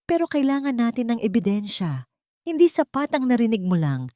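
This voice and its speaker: A woman voicing a calm, protective male lawyer in story dialogue, firm and reassuring. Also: a little high, brisk, dry recording, clean studio sound, voice pushed up.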